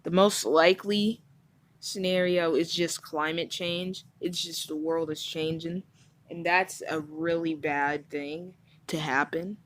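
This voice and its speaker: Woman playing a frank, low-voiced, overheated news anchor. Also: steady tone, takes it slowly. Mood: weary, complaining. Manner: informal, rambling, blunt. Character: overheated, frank, swearing female TV news anchor, flustered and weary. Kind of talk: amateur audio drama newscast, conversational.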